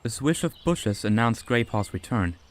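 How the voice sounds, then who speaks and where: A man giving steady, scripted storybook narration for a fan-made audiobook. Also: medium pitch, normal speed.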